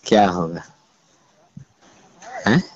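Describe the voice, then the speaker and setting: A man's voice: sits low, animated, extremely slow. A man with a Bihari accent chatting casually on a phone call, explaining things in a colloquial way. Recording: dull lo-fi call recording, clear noise.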